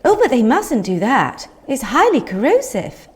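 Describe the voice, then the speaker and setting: A woman's voice: sits high, sing-song, unhurried, breathy edge, full character voice. A woman playing an earnest, clever, mildly dreamy royal adviser in a comic audio drama.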